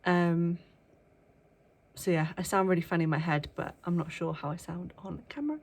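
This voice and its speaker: Young woman, vlogger, a touch low-pitched, nasal from a cold, chatty and hushed at times. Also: steady tone, moderate pace, breathy edge. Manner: chatty, with frequent ums, sometimes hushed. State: bunged up with a cold. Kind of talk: casual chat to camera. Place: workplace indoors, early morning.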